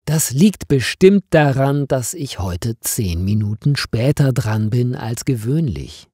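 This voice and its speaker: An impatient office woman from a storybook, read by a man, muttering and complaining. The delivery is slow and mellow, with animated swings in intonation. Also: medium pitch, breathy edge, dry recording, studio quality. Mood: pondering. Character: impatient female office worker, easily exasperated. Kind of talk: storybook character dialogue.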